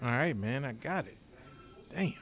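A man's voice: medium pitch, very animated, unhurried, fairly bright tone, faint music behind. An actor playing a wary, foul-mouthed drifter in his thirties in an audio drama, talking in casual slang. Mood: defensive. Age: 30s. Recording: noisy outdoor urban ambience.

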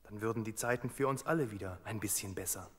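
An actor playing a cautious, worried royal servant in a Bible audio drama, earnest and confiding. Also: male voice, on the low side, fast, a crowd in the background, close to an everyday voice. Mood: relieved, wary.

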